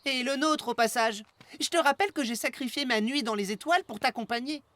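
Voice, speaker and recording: A woman's voice: high voice, a little fast, a bit bright, no room sound. A woman playing a sensible, grumbling child in a cartoon, with a dry, reproachful manner. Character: sensible, grumbling child who loves stargazing. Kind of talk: animated children's cartoon dub. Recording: music clearly behind the voice.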